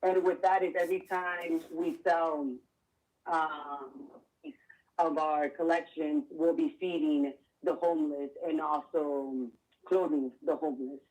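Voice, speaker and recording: A woman's voice: low-pitched, steady tone, slow pace, darkish tone, low-quality recording. A woman from Miami, probably in her thirties, earnestly explaining her clothing line as an interview guest, with some fillers. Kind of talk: casual interview answers.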